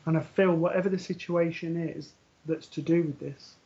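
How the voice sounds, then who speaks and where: A man with a somewhat high voice gives a spiritual reading, speaking gently and reassuringly. He often hesitates, fills pauses with ums and starts sentences over. The sound is dull and lo-fi, like audio from a live stream. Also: steady tone. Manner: hesitant, full of ums and restarts. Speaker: medium giving a live reading. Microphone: earpiece for live broadcast.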